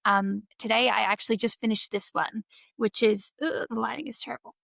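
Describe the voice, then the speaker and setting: A woman's voice: fairly high pitch, steady tone, a little fast, creaky, a bit bright, no echo. A woman with an Australian accent, chatting casually on a podcast with many fillers and little giggles. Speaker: co-host. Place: travelling, on a video call from abroad.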